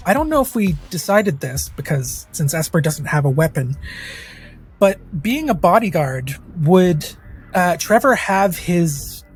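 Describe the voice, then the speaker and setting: A player in a tabletop game, talking casually and thinking aloud about their character's moves. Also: mid-range voice.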